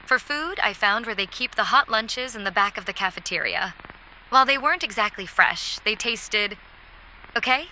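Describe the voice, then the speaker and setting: A woman's voice: medium pitch, lively intonation, normal speed, sharp tone, slight background noise, poor audio. A woman playing a stranded survivor keeping an audio diary, speaking in a wry, reflective way. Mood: wry, hopeful. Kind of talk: analog horror audio diary acting.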